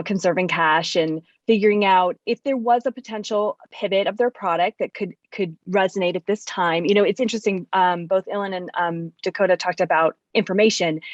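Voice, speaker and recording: A woman's voice: on the low side, fairly even intonation, fairly quick, no echo, highs cut off. A woman discussing business conversationally as an investor guest on a panel.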